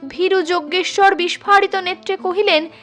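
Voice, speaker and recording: A woman reading a classic short story as a storyteller, in an expressive, measured and dramatic scripted narration. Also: very high voice, soft background music. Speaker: storyteller reading literary fiction.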